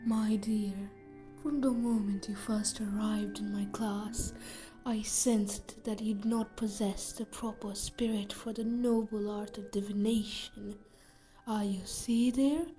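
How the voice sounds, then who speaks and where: Lady doing a whispery mystic fortune-telling teacher, super slow and dramatic, music underneath. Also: medium pitch, fairly even intonation, mellow, natural voice, poor audio. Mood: wounded, cutting. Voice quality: breathy, whispery. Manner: theatrical, drawn-out, portentous delivery. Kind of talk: fan audio drama acting.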